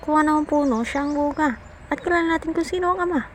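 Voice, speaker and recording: A woman playing a chatty, judgmental townswoman in a radio play, animated and gossipy. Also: sits high, fast, noisy background, close to an everyday voice. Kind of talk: radio play acting in Filipino.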